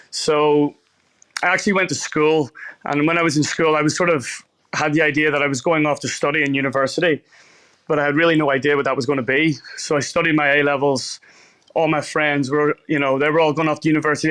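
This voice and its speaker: A man's voice: a little high, fairly bright tone. A man with a Northern Irish accent talking casually as an interview guest, often rephrasing himself as he goes. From Belfast, Northern Ireland. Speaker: chef, podcast guest.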